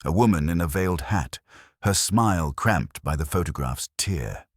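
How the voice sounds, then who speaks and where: A man narrates a literary mystery in a very deep, slightly husky voice. His delivery is measured and wry, with lively movement in pitch and a close, dry sound. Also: no room sound. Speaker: audiobook-style narrator.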